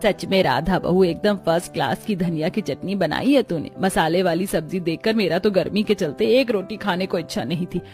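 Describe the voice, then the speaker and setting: A woman's voice: lowish voice, a little fast, few pauses, darkish tone, pitched below the performer's own voice, natural voice. Casting: elderly village mother-in-law, played by a woman, bossy but fond, exclamatory storybook delivery, faint music bed. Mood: fond, inquisitive.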